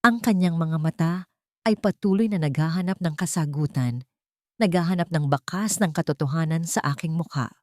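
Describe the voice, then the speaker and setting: A woman narrating a first-person dramatic audiobook in a steady, measured way. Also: low voice, dry recording, studio quality.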